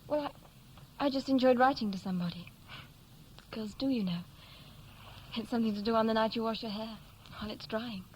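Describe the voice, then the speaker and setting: An actress playing a curious, gently probing young woman from London in a 1960s radio play, talking in a natural conversational way. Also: fairly high pitch, brisk, long pauses, natural voice. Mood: reflective, candid. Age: young adult. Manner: inquisitive, conversational. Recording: faintly noisy vintage 1960s radio recording.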